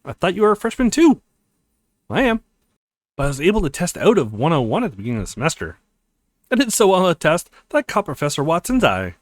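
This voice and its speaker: A man's voice: fairly high pitch, wildly swooping pitch, fast talker, no room sound, studio quality. A man voicing a bubbly, teasing young college woman, an affectionate girlfriend in a visual novel. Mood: upbeat.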